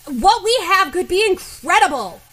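A woman voicing a gruff, hot-tempered, foul-mouthed teenage boy in a story, curt in manner. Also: extremely high pitch, big pitch swings, normal speed, pushed and bright, voice pushed up, full character voice. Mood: explosive. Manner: brusque, curt.

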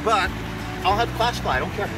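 A man's voice: high-pitched, fast. Middle-aged guy chatting while he pans for gold outside, easygoing, laughs a lot, music behind. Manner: relaxed, chuckling, explains as he works. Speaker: gold prospecting vlogger. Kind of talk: casual outdoor narration. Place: riverside gravel bar outdoors.

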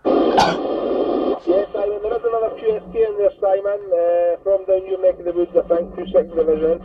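An older man chatting casually over CB radio in a hesitant, unhurried way. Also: high voice, fairly even intonation. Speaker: CB radio operator. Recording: tinny noisy CB radio transmission, distant.

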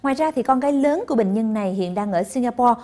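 A woman's voice: on the high side, animated, fast talker, warm tone. A young woman presenting the news in a TV studio, addressing viewers in a polished, formal manner. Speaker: news anchor.

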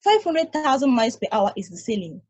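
A woman reading as a weary ancient space probe with a dark husky voice. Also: fairly high pitch, a little fast, no room sound. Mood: lecturing. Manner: calm, reasoning, explaining at length. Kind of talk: table read over video call. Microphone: video call.

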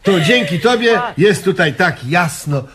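A man's voice: high voice, lively intonation, measured pace, crowd noise. An actor in a radio comedy playing a generous businessman and devoted mother's boy, effusive, with stumbling starts to his sentences. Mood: expansive. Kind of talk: radio comedy drama acting.

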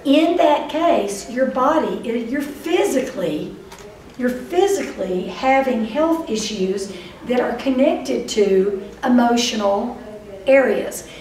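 An older woman with a Texas accent giving a lively, chatty lecture to a live audience, speaking straight to them. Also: a little high, slow, far from the mic, slight background noise. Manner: lively, chatty, addresses audience directly, acts out voices of dreams. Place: meeting room before a whiteboard. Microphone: far camera. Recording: roomy echo, voice from afar.

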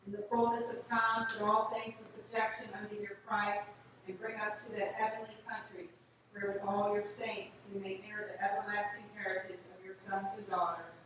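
An older woman, a priest, recites liturgical prayer in a solemn, measured, declaiming manner. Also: medium pitch, level tone, far from the mic. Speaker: Episcopal priest. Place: inside a small church at the altar. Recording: echoey church from afar, noisy background.

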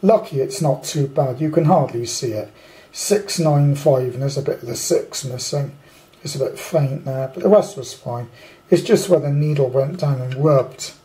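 A man's voice: medium pitch, normal speed, dark tone, poor audio. A British man giving a casual, off-the-cuff hobbyist review in a rambling, blunt, colloquial way. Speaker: amateur radio hobbyist reviewing a kit. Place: indoors at a tabletop.

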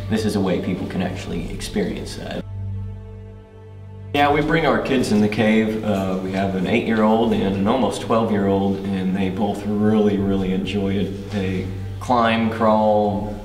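A man's voice: fairly low pitch, a little slow, darkish tone. A man, talking casually in an interview. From Missouri, United States. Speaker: caving class guide. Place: inside a rocky cave. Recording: distant, echoing cave, faint music.

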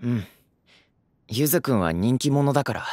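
An actor playing an earnest, gentle, worried teenage boy idol with emotive delivery in a Japanese audio drama. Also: mid-range voice, lively intonation, normal speed, no room sound, studio quality, lightly acted.

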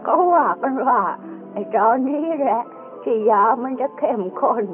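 A woman's voice: high voice, moderate pace, lightly acted. A woman playing a kindly elderly grandparent who patiently explains things in a folksy, instructive way in an educational radio drama. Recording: tinny band-limited audio with music behind.

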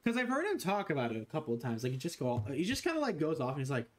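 A man's voice: a little high, wildly swooping pitch, racing. A young man streaming a game from a small room, joking crudely and rambling with his chat. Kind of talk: live game stream commentary.

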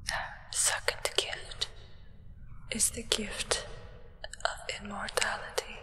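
A woman's voice: mid-range voice, level tone, pushed and bright, in a large hall, poor audio. Woman playing a whispering, solemn vampire's acolyte. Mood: solemn, reverent. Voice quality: breathy whisper. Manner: slow, measured, reverent, formal. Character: solemn, devoted human acolyte of a vampire sovereign. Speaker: ASMR roleplay performer. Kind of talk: whispered ASMR roleplay.

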